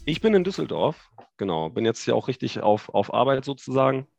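A man in his thirties from Hamburg with a northern German accent, chatting as a guest on a video call, relaxed and hesitant with many fillers. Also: mid-range voice, brisk, gravelly, dry recording, highs cut off, soft background music. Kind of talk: casual chat.

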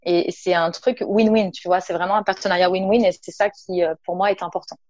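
Chatty woman from Nice, low dry voice. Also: steady tone, fairly quick, a little mellow, no room sound, highs cut off. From Nice, south of France. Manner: chatty, many fillers, addresses listener informally. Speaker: naturopath and entrepreneur, guest. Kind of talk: casual interview talk.

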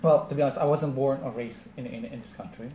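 A man with an Indonesian accent speaks in a thoughtful, halting way, restarting and repeating words as he goes. His voice sits at an ordinary pitch and carries a roomy echo. A faint hiss lies under the recording. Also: fairly even intonation, mellow. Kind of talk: casual conversation. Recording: echoey room, faint background noise.

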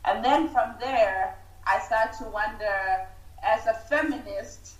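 A woman's voice: a little high, slow pace. Distant, echoey woman with hard-to-place international accent. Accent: hard-to-place international English. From Uganda. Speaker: guest, film writer and director. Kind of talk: reflective interview talk. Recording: roomy echo, distant microphone.

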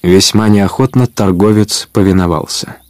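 A man's voice: lowish voice, moderate pace, dry recording. A man narrating an adventure audio drama in a scripted storytelling style.

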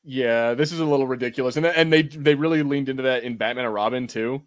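A man's voice: medium pitch, fast talker. A man co-hosting a podcast, chatting casually through a movie watch party, swearing freely and acting out imagined lines. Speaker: podcast co-host.

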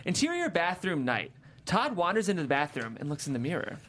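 A woman's voice: on the low side, wildly swooping pitch, brisk, fairly bright tone. A woman hosting a show and reading scripted stage directions with enthusiasm. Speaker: host and stage-direction reader.

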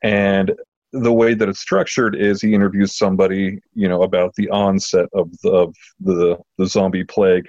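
A man chatting casually as a podcast host, enthusiastic but halting, often repeating words as he goes. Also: sits low, little pitch movement, a little mellow. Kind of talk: casual chat. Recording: muffled lo-fi audio in a roomy space.

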